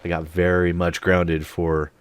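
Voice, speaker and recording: A man in his late thirties, an animated storyteller who swears freely, telling a personal story straight to the mic. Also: low-pitched, unhurried, clear, resonant tone, no room sound. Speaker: channel host. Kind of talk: storytime monologue.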